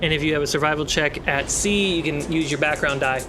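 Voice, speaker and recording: A man working as game master, narrating a tabletop fantasy game with deliberate, dramatic storytelling pauses. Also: a little high, heavy background noise. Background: storm and ship sound effects.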